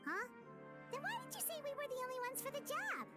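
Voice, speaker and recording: A woman voicing a tiny, excitable female fairy companion who is chirpy and nosy, with game music behind. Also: very high-pitched, quick pace. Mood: chirpy curious. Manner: chirpy, nosy, refers to herself by name. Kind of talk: game cutscene voice acting.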